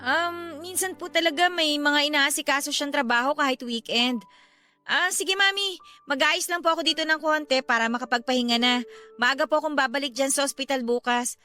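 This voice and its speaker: A woman's voice: high-pitched, fairly quick, soft background music. A woman playing a weary, patient wife and mother in a Filipino radio drama. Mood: polite, patient. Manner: polite, expressive.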